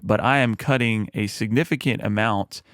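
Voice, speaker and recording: A man with a low voice, talking through a music mixing tutorial in a relaxed, explanatory way with frequent fillers. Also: moderate pace, clear, resonant tone, dry recording, clean studio sound. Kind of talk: screen-recorded mixing tutorial.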